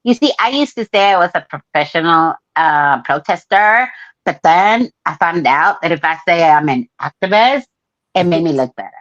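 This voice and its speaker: Low drawling valley-girl Mexican woman put on by a woman, fake Mexican accent, crude cussing, dull lo-fi sound. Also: expressive, moderate pace, fairly bright tone. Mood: boastful. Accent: Mexican-accented English, put on. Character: brash valley-girl Mexican woman who cusses a lot.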